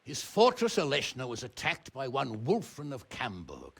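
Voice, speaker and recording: An actor playing a stern, exasperated noble uncle in a medieval video game, blustering, sarcastic and blunt. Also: male voice, mid-range voice, huge pitch swings, moderate pace, creaky, slightly rough, heavily acted. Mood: stern, businesslike. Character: stern, exasperated noble uncle scolding his wayward ward. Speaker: medieval lord and guardian.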